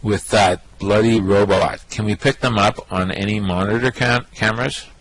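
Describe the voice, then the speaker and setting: A man playing a brash, vain, excitable space rogue in a radio play, with cocky, slangy bluster. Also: fairly low pitch, moderate pace, no room sound, lightly acted, poor audio. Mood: working it out. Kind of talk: amateur radio play acting.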